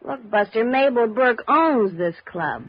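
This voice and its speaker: A woman's voice: fairly high pitch, expressive, unhurried, over music. An actress playing a wary, blunt woman clerk at a lonely-hearts club in a 1950s radio drama, with slangy, defensive delivery. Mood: sullen, defensive. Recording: dull vintage 1950s radio recording.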